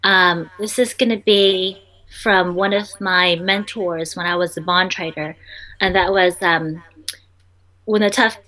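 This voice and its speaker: A woman's voice: lowish voice, fairly even intonation, a little slow, pushed and bright, rough recording. A young woman entrepreneur, a native Vietnamese speaker, answering interview questions in a chatty way full of ums and restarts.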